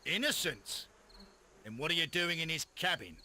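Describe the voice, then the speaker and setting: An actor playing a brusque, eager Victorian police inspector in a video game, with a British accent and an authoritative, blustering manner. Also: male voice, a little high, expressive, moderate pace, pushed and bright, slight background noise. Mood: stern, impatient. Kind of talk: scripted video game acting.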